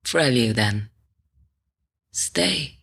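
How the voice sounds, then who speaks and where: A woman's voice: rumbling low, lively intonation, drawn-out words, vocal fry, noisy background, full character voice. An actress playing a tough, demanding woman soldier who interrogates in terse phrases on sci-fi television. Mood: cold, demanding. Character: tough, insistent female soldier interrogating a man. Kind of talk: science fiction television acting.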